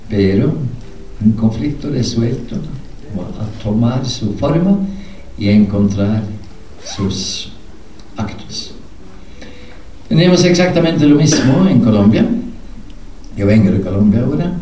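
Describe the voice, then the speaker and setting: Slow, mellow older man lecturing in a hall. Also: medium pitch, slight background noise. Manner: measured, emphatic, pausing between short phrases. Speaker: peace researcher giving a lecture. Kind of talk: public lecture. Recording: echoey hall, speaker far from mic.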